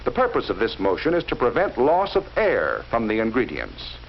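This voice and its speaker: A man narrates a 1940s instructional film in a measured, explaining manner, speaking straight to the viewer. His pitch rises and falls a great deal. The sound is muffled and lo-fi, with a faint hiss. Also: huge pitch swings, vocal fry. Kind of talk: scripted educational film narration.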